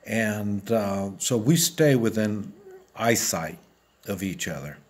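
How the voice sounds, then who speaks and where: A man in his seventies talking casually and plainly to viewers as he shows gear, halting and rambling, often repeating himself. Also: fairly low pitch, animated. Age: 70s. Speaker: video host.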